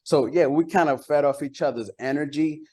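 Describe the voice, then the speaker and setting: A man's voice: on the high side, brisk, warm tone. Casual, colloquial man from Costa Rica. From San Jose, Costa Rica. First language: Spanish. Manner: colloquial, peppered with "like" and "you know". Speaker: interview guest, bilingual rapper and songwriter. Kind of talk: casual interview chat.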